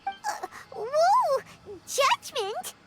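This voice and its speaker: Tiny cheeky game sidekick girl, super high squeaky voice, bounces all over, loud music behind. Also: big pitch swings, extremely slow, full character voice. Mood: alarmed. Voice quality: squeaky, childlike. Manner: refers to self in third person. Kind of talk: video game character acting. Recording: music playing loudly behind.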